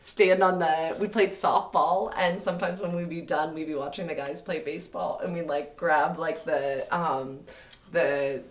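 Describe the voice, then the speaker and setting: A woman hosting a casual chat, with a naturally raspy voice and a chatty manner full of laughs and animated retellings. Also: low-pitched, unhurried. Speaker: podcast host. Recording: roomy echo, mic at a distance.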